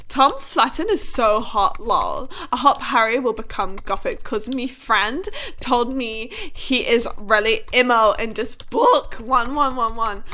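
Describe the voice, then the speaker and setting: A woman's voice: fairly high pitch, bright, forceful tone, a little hiss or hum. A woman voicing a childish, gushing teenage goth fangirl author who reads text slang out literally in a parody note.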